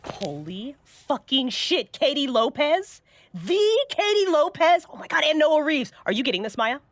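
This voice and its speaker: An actress playing a brash, foul-mouthed, pushy paranormal investigator in a horror audio drama. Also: female voice, sits high, big pitch swings, measured pace. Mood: excited.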